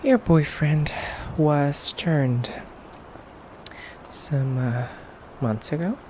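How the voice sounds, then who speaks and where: Man acting as a haughty male vampire, slow unhurried delivery, mock-polite, condescending, intimate ASMR roleplay, noisy ambient background. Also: fairly high pitch, expressive. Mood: explaining, measured. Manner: hesitant pauses, condescending mock politeness, soft chuckling. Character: haughty, teasing pure-blood male vampire interrogating a human captive.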